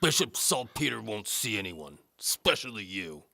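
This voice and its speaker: A man's voice: fairly low pitch, big pitch swings, normal speed, creaky, pushed and bright, dry recording, voice pushed up, heavily acted. A man voicing a loutish, boastful mercenary knight in an audio drama, with a thick put-on accent and a resonant voice muffled inside a helmet. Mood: gruff, dismissive. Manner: thuggish, taunting, pompous. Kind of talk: audio drama character acting.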